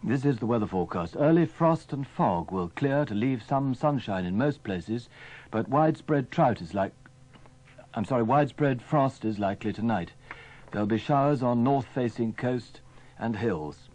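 A man's voice: medium pitch, expressive, moderate pace, a little mellow, poor audio. A man reading live announcements as a radio presenter.

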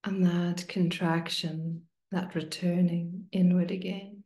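A woman meditation teacher leading a guided meditation in a hushed, soothing manner, leaving long pauses between short phrases. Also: fairly low pitch, flat delivery, highs cut off. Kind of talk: guided meditation, slow and spoken to listeners. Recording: roomy, echoing sound.

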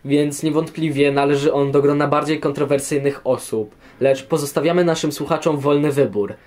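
A man's voice: a little high, fairly even intonation, moderate pace, a little mellow. A young man reading scripted history commentary as a guest on an audio programme.

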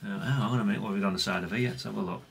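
A man's voice: lowish voice, rapid-fire, gravelly, husky. A middle-aged British man giving casual, unscripted workbench commentary in a rambling, chatty, plain-spoken way. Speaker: hobbyist radio repair vlogger.

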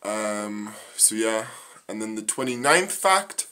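A young man from Northern Ireland in a living room, reading off facts about himself into a phone camera, halting with many ums and uhs. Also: lowish voice, animated, pushed and bright. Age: young adult. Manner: halting, frequent ums and uhs, slow and plain. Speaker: vlogger. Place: small living room indoors. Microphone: phone camera at arm's length.

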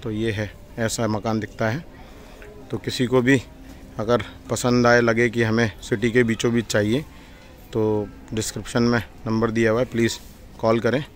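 A man's voice: medium pitch, flat delivery, moderate pace, slight background noise. A man in his thirties presenting a house for sale in a plain conversational vlog, with hesitation fillers. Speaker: property dealer. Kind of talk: real estate listing vlog. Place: indoor office with decor shelves, then outdoors walking through a lane.

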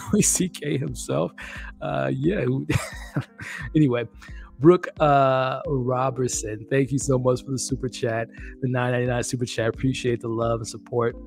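A man's voice: mid-range voice, gravelly, darkish tone. Chatty upbeat man live-streaming. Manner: chatty, upbeat, laughs often, thanks viewers. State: losing his voice. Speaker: livestream host. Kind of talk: casual live-stream chat reading comments. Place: small room indoors. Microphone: studio mic on desk, close.

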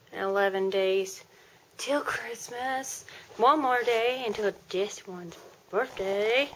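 A woman in her twenties chatting casually to camera in an informal, rambling way. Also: medium pitch. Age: 20s. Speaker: vlogger. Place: small room at home, indoors. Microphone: handheld camera at arm's length. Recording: noisy handheld camera in a room. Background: clear background noise.

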